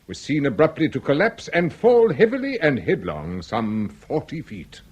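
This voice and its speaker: An actor playing a courtly, fretful and florid Victorian lord with a husky voice in a British radio drama. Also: male voice, mid-range voice, sing-song, normal speed, darkish tone, lo-fi sound. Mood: ominous, dramatic. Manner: florid, mannered, pompous.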